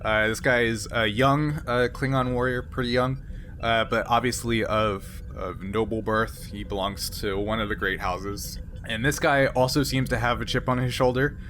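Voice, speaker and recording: A man's voice: medium pitch, steady tone, normal speed, a bit bright, a little hiss or hum. A man working as game master, narrating and refereeing a tabletop game in an improvised, explanatory way. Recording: music behind the voice. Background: music.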